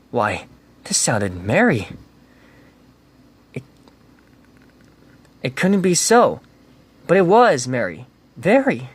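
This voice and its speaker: Man giving a rhythmic storybook reading. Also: mid-range voice. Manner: rhythmic rhyming delivery with lively emphasis. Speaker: reader.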